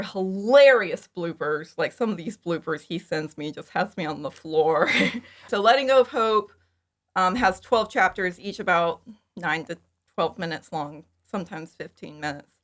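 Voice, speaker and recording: A woman's voice: fairly low pitch, moderate pace. A woman talking casually and informally as an interview guest, chatty and prone to acting out her own remarks. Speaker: podcast guest, fan audio drama creator.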